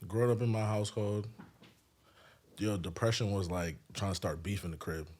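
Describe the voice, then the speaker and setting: A young man from Haiti whose first language was French, telling his story as a guest in a relaxed, slangy podcast chat. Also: fairly low pitch, moderate pace. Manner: laid-back, slangy storytelling. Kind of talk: casual chat.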